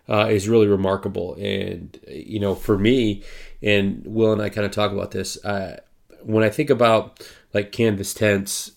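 A man hosting a podcast, congested and nasal from a cold, talking in a halting way with many fillers. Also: on the low side. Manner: halting, many ums and uhs. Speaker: podcast host. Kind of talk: casual podcast intro and sponsor reads.

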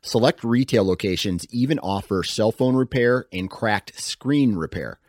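A man reading a scripted radio ad in a smooth, even sales delivery. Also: fairly low pitch, unhurried, dry recording, studio quality. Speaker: ad announcer.

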